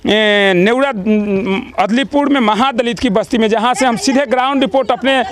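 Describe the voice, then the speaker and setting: A man with a Bihari accent reporting from the field in a village, halting as he searches for words. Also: extremely high pitch. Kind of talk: on-the-spot news report and interview. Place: outdoors in a village settlement. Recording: outdoor street, people talking around.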